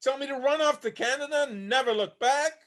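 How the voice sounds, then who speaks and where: A man's voice: extremely high pitch, fairly quick, a bit bright. A man acting a haunted, homeless older Vietnam veteran, weary and bitter, telling his story plainly in a staged play reading. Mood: pleading, wry. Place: online video call reading.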